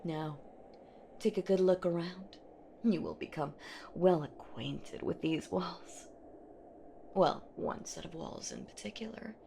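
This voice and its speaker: A woman's voice: fairly low pitch, warm tone, a little hiss or hum. A woman voicing an ancient female boogeywoman in a horror roleplay audio drama, predatory and possessive, with teasing, menacing delivery. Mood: possessive, sweetly menacing. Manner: slow, teasing, menacing, breathy whispers and drawn-out words.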